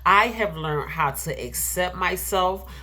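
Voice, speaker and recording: A woman's voice: lowish voice, slow, pushed and bright, husky. A middle-aged woman with a nasal, congested voice giving an earnest inspirational sermon, repeating herself and laughing now and then. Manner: earnest, repetitive, with laughs and filler words. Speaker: preacher.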